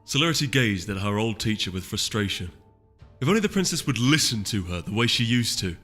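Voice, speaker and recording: A man's voice: fairly low pitch, big pitch swings, brisk, fairly bright tone, in a large hall, faint music behind. A man reading a story aloud as a scripted, dramatic narration. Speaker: audiobook reader.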